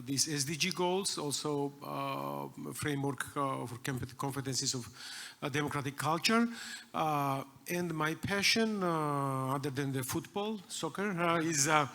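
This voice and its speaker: A man from Georgia introducing himself on a conference panel, halting with many fillers. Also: on the high side, pushed and bright. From Georgia (country). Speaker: panelist, education agency director.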